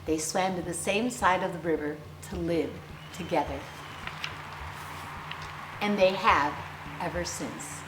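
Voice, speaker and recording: Slow-paced woman reading a children's storybook. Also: lowish voice, noisy background. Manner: theatrical, deliberate phrasing with dramatic emphasis. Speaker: children's storyteller, clown character host. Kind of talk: expressive storybook read-aloud for young children. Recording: roomy echo, faint music behind.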